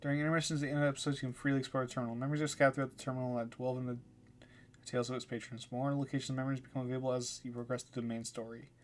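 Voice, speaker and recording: A man's voice: medium pitch, flat delivery, very fast. A man casually reading aloud and commenting as he streams a game, a little hesitant in places. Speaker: streamer playing a game live. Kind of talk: reading visual novel dialogue aloud, livestream. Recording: game music under the voice. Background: game music.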